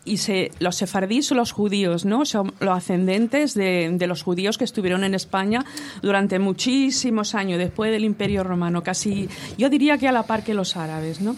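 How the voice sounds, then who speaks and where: A woman's voice: medium pitch, normal speed, a little hiss or hum. A woman co-hosting a casual talk show, explaining food history in a chatty way, often checking in with rhetorical questions.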